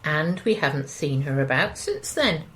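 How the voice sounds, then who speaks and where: A woman's voice: sits low, big pitch swings, normal speed, fairly bright tone. An actress playing a curtain-twitching suburban wife in an audio drama, gossipy, anxious and easily shocked, with a British accent and a hesitant, insinuating way of talking. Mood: recalling. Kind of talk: audio drama dialogue, naturalistic.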